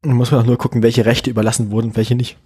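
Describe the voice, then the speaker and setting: Male podcast co-host, husky edge, chatty with ironic quick comebacks. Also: medium pitch, flat delivery, dark tone. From not from Berlin. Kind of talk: casual news chat.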